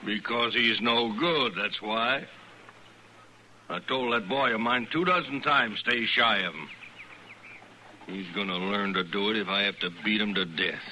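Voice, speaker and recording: A man's voice: on the low side, unhurried, bright, forceful tone. An actor playing a belligerent, bullying cattleman father with a rural Western American accent in an old-time radio western. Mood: angry, bossy. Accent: rural American West. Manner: blustering, threatening, folksy. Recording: noisy old radio recording.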